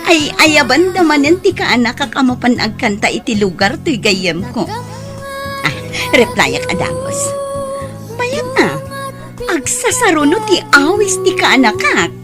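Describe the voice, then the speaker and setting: A woman playing a scheming, money-minded aunt in an Ilocano audio drama, with an expressive theatrical delivery. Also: sits high, a little slow, music in the background. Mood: greedy, pleased. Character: scheming, money-minded aunt feigning care for her nephew. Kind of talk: Ilocano radio drama acting.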